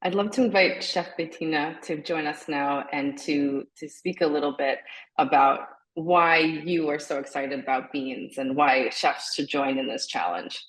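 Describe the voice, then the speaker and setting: A woman moderating a webinar Q&A on a video call, briskly introducing guests and reading out questions. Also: sits low, poor audio. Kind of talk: hosting a webinar Q&A.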